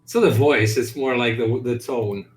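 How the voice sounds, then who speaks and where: A man's voice: medium pitch, normal speed, soft background music. A man with a Greek accent chatting casually on a game development stream, hesitant and full of fillers and restarts. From Greece. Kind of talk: casual chat on a live stream.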